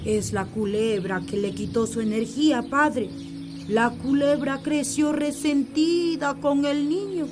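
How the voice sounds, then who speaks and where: A woman's voice: high voice, steady tone, measured pace, over music. An actress playing a worried village mother in a radio drama, anxious and pleading.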